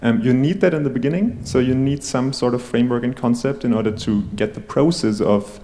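Mellow technical man in a reverberant hall. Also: mid-range voice, a little fast, a little hiss or hum. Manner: technical, wordy, long winding sentences. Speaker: tech company CTO, panelist. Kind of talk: explanatory panel talk.

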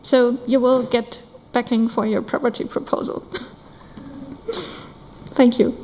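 A woman's voice: fairly high pitch, flat delivery, dark tone, long reverb tail, a little hiss or hum, poor audio. Upbeat woman moderating a conference Q&A. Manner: upbeat, brisk. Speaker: session moderator. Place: conference room.

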